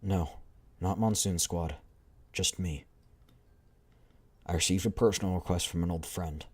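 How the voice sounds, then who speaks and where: A man with a deep voice reading the lines of a calm, composed female squad leader in a measured, formal way.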